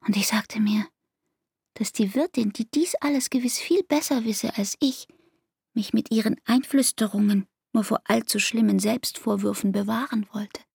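An actress playing an anguished, devoted young barmaid in an intimate radio play, with speech and a breathy, half-whispered, intense delivery. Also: female voice, a little high, normal speed, a little mellow, dry recording, clean studio sound, full character voice. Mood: tender, anguished.

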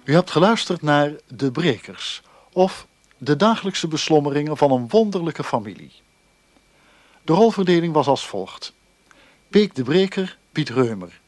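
A man announcing the credits at the end of a radio drama in a measured, formal way. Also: a little high, animated, a little mellow, no echo, faint music behind.

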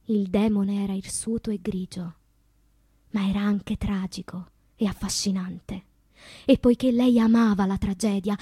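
An actress playing a wistful, wounded woman poet in a theatrical radio monologue, with slow deliberate phrasing and a breathy voice that drops into whispers. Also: medium pitch, warm tone, dry recording. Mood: dark, intimate.

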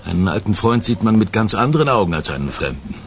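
Radio crime drama: composed, self-assured senior detective, very low register, deliberate pauses. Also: male voice, big pitch swings, a little hiss or hum. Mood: frank, measured. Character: self-assured male police lieutenant colonel from economic crimes. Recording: music playing behind the voice.

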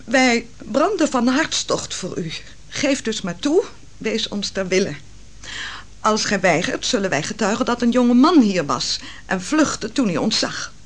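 A woman's voice: fairly high pitch, big pitch swings, measured pace. A woman acting an anguished, virtuous wife in a Dutch radio play, telling her story in a solemn, dramatic manner. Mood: distressed, recounting. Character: Susanna, anguished virtuous wife of a royal counsellor.

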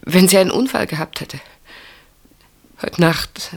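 An actress in a German radio play, playing an anxious woman who fears for her sister and speaks in urgent, breathy pleas. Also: lowish voice, lively intonation, measured pace, heavily acted. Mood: desperate pleading.

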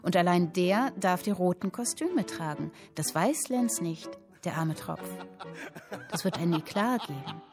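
An actress playing a captive young woman writer in a radio drama, reading her literary text aloud in a measured storytelling way. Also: fairly low pitch, expressive, over music. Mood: measured, intent.

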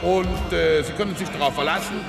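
Pompous club president, echoing hall. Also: male voice, high voice, brisk, creaky. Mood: jovial, pompous. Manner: pompous, stumbling speechmaking with frequent hesitations. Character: jovial, self-important Cologne businessman elected club president. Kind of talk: radio play acting. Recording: echoing hall, loud music and crowd behind.

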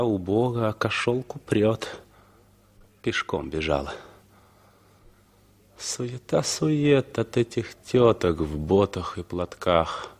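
A moody scornful guy in his 30s, slow and husky, reciting gloomy thoughts like an old radio play. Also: lowish voice, full character voice. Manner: declamatory, world-weary. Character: brooding, scornful thirty-year-old unshaven traveller at a bus station. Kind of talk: poetic inner monologue in a 1960s Soviet radio play.

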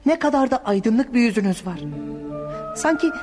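An actress voices an innocent young son in a radio drama. The voice is high and animated, full of earnest feeling, set in a long reverb with music underneath. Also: female voice, moderate pace, natural voice. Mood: awed. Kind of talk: Turkish radio theater acting.

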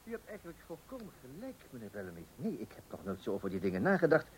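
An actor playing a bumbling, long-suffering husband in a comic radio play, husky, hesitant and muttering. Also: male voice, mid-range voice, wildly swooping pitch, very fast, slight background noise. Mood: hesitant, agreeable. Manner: hesitant, muttering, repeating himself. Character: bumbling, gullible, long-suffering middle-class husband and homeowner.

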